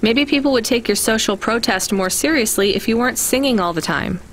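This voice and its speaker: A woman's voice: a little high, fairly quick, a bit bright, slight background noise, close to an everyday voice. A woman playing a wry, conscientious young delivery driver in naturalistic, conversational video game dialogue.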